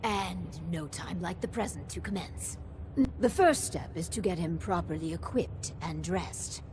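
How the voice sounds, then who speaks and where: An actress playing an officious, coldly pragmatic overseer in a video game, speaking formally and deliberately. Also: female voice, fairly low pitch, noisy background, close to an everyday voice. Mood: brisk, appraising. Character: officious, coldly pragmatic female vault overseer, measured and scheming. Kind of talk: video game character dialogue.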